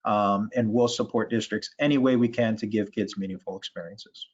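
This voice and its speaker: Even-toned man, halting, on dull call audio. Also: on the low side, slightly rough. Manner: halting, measured, with repeated false starts. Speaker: education and school sports association official. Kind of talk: answering questions in an online meeting.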